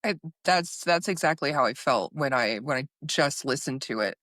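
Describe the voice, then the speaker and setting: A woman's voice: very deep voice, animated, moderate pace, a bit bright, dry recording, studio quality. A woman hosting a podcast interview in a casual, agreeable, conversational way. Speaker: podcast host.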